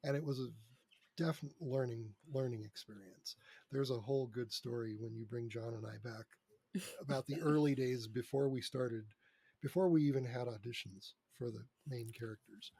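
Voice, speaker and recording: A man with a husky voice talking casually and candidly as an interview guest, explaining things through anecdotes. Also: medium pitch, moderate pace, warm tone, no echo. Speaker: interview guest, radio drama producer. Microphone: close microphone on a remote online recording.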